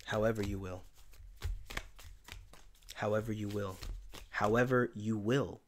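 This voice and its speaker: A man giving a casual spiritual tarot reading in a soft, meandering, reflective way, his voice tired and giving out. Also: on the low side, fairly even intonation, a little slow, a little hiss or hum. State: voice giving out, tired by day's end. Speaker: tarot reader and channeler.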